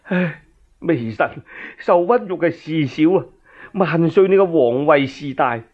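A man's voice: fairly high pitch, lively intonation, unhurried, muffled recording. A man voicing a scheming, fawning court minister who pleads in an obsequious, aggrieved way in a storytelling drama. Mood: sly insinuation.

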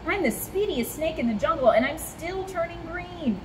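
A woman voicing an energetic, vain young male snake in a storybook, exclamatory and dramatic. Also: very high-pitched, normal speed, noisy background, pitched above the performer's own voice. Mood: alarmed.

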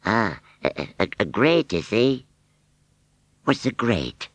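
A man's voice: on the low side, big pitch swings, no echo, pitched above the performer's own voice, exaggerated voice. A man voicing a simple, lovestruck scarecrow in a storybook, plaintive and grumbling, with a West Country English accent. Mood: puzzled, jealous. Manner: rustic, plaintive, slow-witted.

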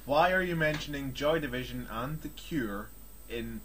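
A man's voice: mid-range voice, normal speed, a bit bright, slight background noise. A Scottish man giving casual, sarcastic and sweary commentary as a co-host. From Scotland. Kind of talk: casual mocking banter.

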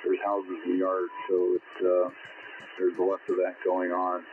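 An American man chats at ease as a radio operator. His voice is very low, and it comes through a dull signal with noticeable static. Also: completely flat delivery, takes it slowly. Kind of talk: casual radio chat.

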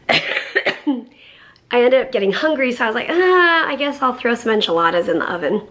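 A woman in her thirties, a vlog co-host, chatting in an animated way to a handheld camera in her kitchen, saying "like" often. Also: a little high, moderate pace. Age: 30s. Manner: chatty, animated, says "like" a lot. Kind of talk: casual vlog chat. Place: home kitchen. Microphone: handheld camera at arm's length.

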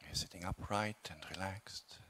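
A man with a low-pitched voice teaches meditation. He speaks calmly and without hurry, giving gentle instructions in short phrases and leaving long pauses between them. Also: slightly rough. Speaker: meditation teacher, Buddhist monk.

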